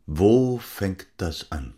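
Journalist role: terse and wry, fairly low, radio play with clearly audible clatter behind. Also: male voice, big pitch swings, extremely slow, exaggerated voice. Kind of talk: German radio play acting. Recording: noisy, with clicks and typewriter.

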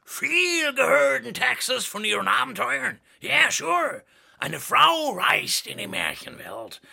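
A man playing a brash, jovial Texan Santa Claus in a children's radio play, with an American accent and a gravelly, showy delivery. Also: very high voice, wildly swooping pitch, slow, heavily acted. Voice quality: gravelly, husky. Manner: showy, shouting exclamations, mixing in English.

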